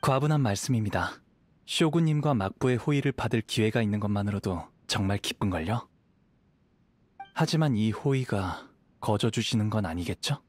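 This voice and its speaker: An actor playing a modest young wandering samurai in a game, calm, polite and reflective. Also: male voice, on the low side, moderate pace. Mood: humble, reserved. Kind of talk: game voice acting. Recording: music behind the voice.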